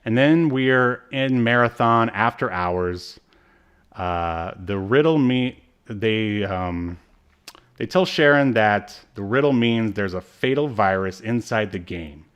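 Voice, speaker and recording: Smooth-voiced man, halting podcast chat. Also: lowish voice, expressive, fairly bright tone, dull audio. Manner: hesitant, halting, loosely rambling recap. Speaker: podcast co-host. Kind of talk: casual chat recapping a TV episode.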